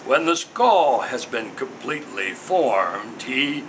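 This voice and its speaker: Declamatory man reading poetry over loud noise. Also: medium pitch, sing-song, takes it slowly. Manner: declamatory, theatrical, emphatic. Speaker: poet reading own work. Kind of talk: dramatic poetry reading, incantatory.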